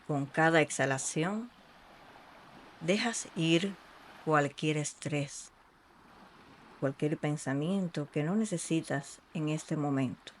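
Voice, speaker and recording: A meditation guide speaking in a soothing, unhurried way with long pauses between short phrases. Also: mid-range voice, a little hiss or hum. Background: ambient sea sounds.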